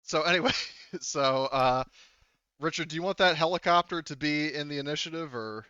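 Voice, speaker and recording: A man casually narrating a tabletop game as game master, halting and thinking aloud as he goes. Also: on the high side, pushed and bright, smooth, no room sound, dull audio.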